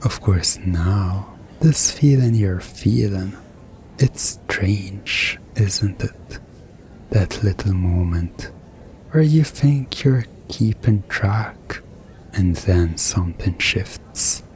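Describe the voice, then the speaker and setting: A man performing a hypnosis ASMR roleplay as a hypnotist, speaking in a soothing, deliberate, hypnotic way with pauses. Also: on the low side, darkish tone, slight background noise.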